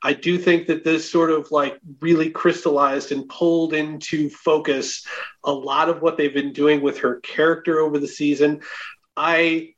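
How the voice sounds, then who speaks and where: Higher-pitched man, dull lo-fi audio. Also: little pitch movement, moderate pace, fairly bright tone. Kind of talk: casual TV episode review.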